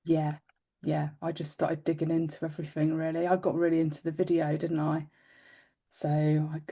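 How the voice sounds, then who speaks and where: A woman's voice: lowish voice, warm tone. A woman co-hosting a podcast in casual chat, speaking in an informal, modest and agreeable way. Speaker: podcast co-host.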